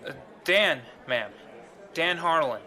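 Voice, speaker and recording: An actor playing a nervous, clumsy young rookie gunner who stammers and apologises, in an audio drama. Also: male voice, on the high side, animated, slow, sharp tone, exaggerated voice. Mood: flustered.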